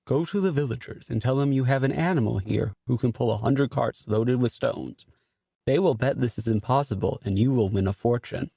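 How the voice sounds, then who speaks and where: A solemn talking buffalo, voiced by a man with a dark, husky, creaky tone. It speaks with proud, measured dignity in a storybook tale, recorded close and dry. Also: medium pitch, no room sound. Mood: solemn, earnest. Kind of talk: dramatized audiobook reading.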